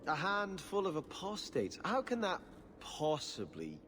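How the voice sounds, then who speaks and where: A devout, earnest believer in a fantasy game. His formal, pleading speech moves through lively swings of pitch. It rings in a long hall reverb with faint noise behind. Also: unhurried, heavily acted. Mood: incredulous. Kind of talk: fantasy video game acting.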